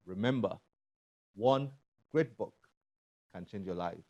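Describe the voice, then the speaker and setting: A man with a Nigerian accent presenting a scripted TV show to viewers, with deliberate emphasis on key phrases. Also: lowish voice, animated, muffled recording, very noisy. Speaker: TV book show host.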